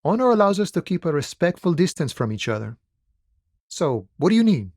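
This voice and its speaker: An actor playing an easygoing, wily striking dockworker in a video game, with folksy cowboy swagger and a theatrical, friendly drawl. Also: male voice, fairly high pitch, big pitch swings, brisk, darkish tone, dry recording, clean studio sound. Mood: amused, sly. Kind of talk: video game character dialogue.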